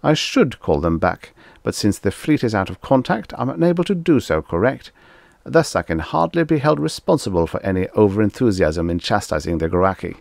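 A man's voice: on the low side, animated, moderate pace, vocal fry, darkish tone, no room sound, clean studio sound. A man voicing a pompous, easily panicked ambassador, barking and indignant in a science fiction audiobook. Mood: self-satisfied. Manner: barking, indignant, self-important.

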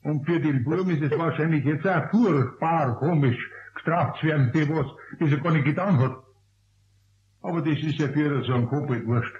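A man's voice: on the high side, normal speed, a little mellow, a little hiss or hum, natural voice. Actor as grumpy Bavarian craftsman, echoey room. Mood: reproachful. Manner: gruff, dry, grumbling. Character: grumpy older male Bavarian craftsman, dry scolding. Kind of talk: radio play acting. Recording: dull, echoey old radio play recording.

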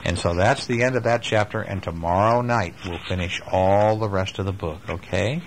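An older man reading a children's book aloud at home and chatting gently with a child between passages. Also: sits low, moderate pace, a little hiss or hum, poor audio. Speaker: father reading a bedtime story. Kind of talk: bedtime story reading to a child.

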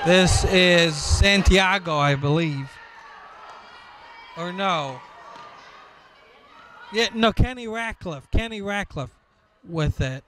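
Male play-by-play caller, high-pitched and unhurried, over a loud school gym crowd. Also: slight background noise. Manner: slow clipped calls with occasional shouting. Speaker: basketball play-by-play announcer. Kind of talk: live sports commentary. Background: crowd and bouncing ball.

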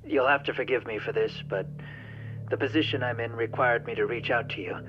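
An actor playing a guarded, weary middle-aged former teacher, speaking politely and with measured understatement in a game drama. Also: male voice, medium pitch, fairly even intonation, highs cut off, slight background noise, natural voice. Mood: polite, grave. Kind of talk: dramatic video game dub acting.

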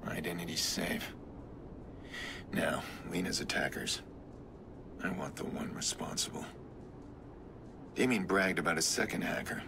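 An American actor in a video game playing a grim, guarded vigilante hacker in his thirties, terse and low-key. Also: a little slow, creaky, long pauses, noisy background. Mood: brooding.

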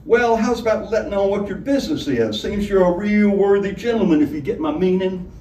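Man putting on a brash, high-pitched tavern madam. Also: animated, a little fast, warm tone. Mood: coaxing, flirtatious. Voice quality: harsh, grinding. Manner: rustic colloquial speech, wheedling. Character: brash bellowing tavern madam, flirtatious and coarse. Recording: roomy, distant mic, background noise.

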